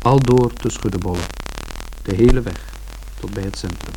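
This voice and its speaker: An actor playing an observant son who narrates a family journey in a measured, descriptive way for a radio drama. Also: male voice, fairly low pitch, background noise, close to an everyday voice. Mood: reflective.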